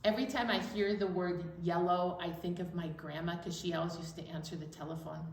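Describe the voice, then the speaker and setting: A woman's voice: lowish voice, steady tone, brisk, far from the mic. A woman presenting rhymes and activities to young children, playful and encouraging, prompting them to answer, recorded in an echoey room away from the microphone.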